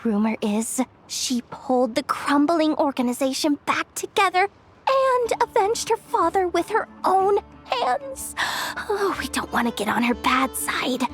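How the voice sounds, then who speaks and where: A woman's voice: high-pitched, big pitch swings, unhurried, a bit bright, full character voice. An actress playing a brash, scheming young female expo manager in a video game, with a slangy, dramatic way of talking. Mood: nervous, gossipy. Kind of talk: animated video game voice acting. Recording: music under the voice.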